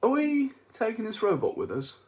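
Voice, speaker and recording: A skeptical space traveler questions things with open distaste, as a man voices him. His tone is wry and doubtful rather than loud. A light piece of audiobook character work. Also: high-pitched, huge pitch swings, normal speed, pitched above the performer's own voice.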